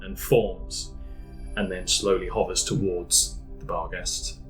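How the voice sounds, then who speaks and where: A man at a tabletop game describes his character's magic. His voice is lowish, and he tells the scene eagerly and imaginatively. Soft music plays under him. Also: flat delivery, slow pace, poor audio. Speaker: player. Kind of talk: casual tabletop play and narration.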